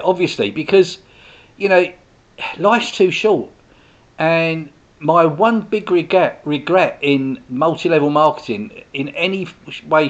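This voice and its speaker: A man's voice: a little high, lo-fi sound. A man, talking casually to viewers in a rambling, chatty way full of restarts and asides. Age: 60s. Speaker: host, direct sales business owner.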